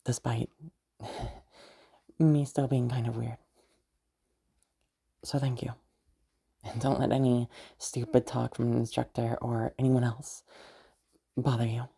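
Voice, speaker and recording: A woman playing a flustered, affectionate young noblewoman mage in an intimate roleplay audio drama, speaking haltingly with stammers. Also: rumbling low, gravelly, warm tone, husky, full character voice. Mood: earnest, protective. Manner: halting, stammering, giggly, mild swearing.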